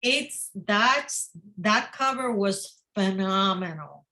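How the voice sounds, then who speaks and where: A woman's voice: mid-range voice, lively intonation, takes it slowly, sharp tone. A woman whose first language is not English, a guest author in a casual interview, often repeating and restarting her words.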